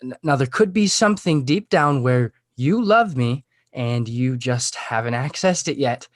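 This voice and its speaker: A man's voice: mid-range voice, expressive, dry recording, studio quality, lightly acted. Man reading as needy, stammering lovesick teenage boy. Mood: earnest, hopeful. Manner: halting, stammering, pleading. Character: earnest, needy, lovesick sixteen-year-old boy. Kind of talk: table read of a comedy script.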